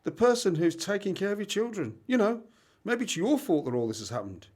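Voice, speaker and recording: A man's voice: high voice, big pitch swings, brisk, darkish tone. A man acting in an amateur radio play as a blunt, exasperated but supportive best mate.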